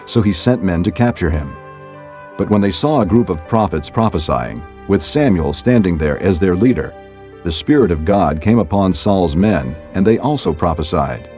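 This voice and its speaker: A man narrates a scripture story in a very low, mellow voice. His delivery is measured and scripted, like audiobook storytelling, with faint music behind him. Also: animated.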